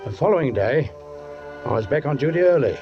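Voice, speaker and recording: Male actor as watchful police sergeant, suspense radio narrator, higher register, dull vintage sound. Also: expressive, a little fast, natural voice. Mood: brooding, suspenseful. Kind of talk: 1940s radio acting, first-person narration. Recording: dull 1940s radio recording, music behind.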